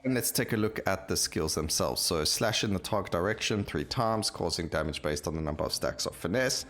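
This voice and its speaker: A man's voice: sits low, animated, brisk, fairly bright tone, faint music behind. A man hosting a gameplay video, talking casually and rambling as he thinks aloud while he plays. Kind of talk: casual game commentary.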